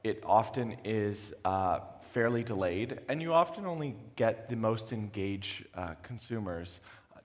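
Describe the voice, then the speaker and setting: Slightly raspy low-voiced man on stage. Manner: measured, with frequent "uh" fillers. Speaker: consultant panelist. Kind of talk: onstage panel conversation. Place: large conference hall. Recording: long reverb of a conference hall.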